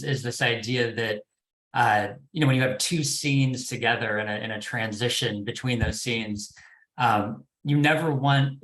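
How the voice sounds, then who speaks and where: A man's voice: mid-range voice, a bit bright. Male panelist, mid-range voice, tentative conversational delivery, roomy reverberant sound. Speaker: panelist, podcast development executive. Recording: echoey, reverberant room.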